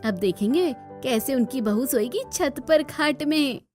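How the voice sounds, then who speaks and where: Cartoon daughter-in-law voiced by a woman: young, high, sharp-tongued, gossipy, music bed. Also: measured pace. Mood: gleeful gossip. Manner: complaining, gossipy.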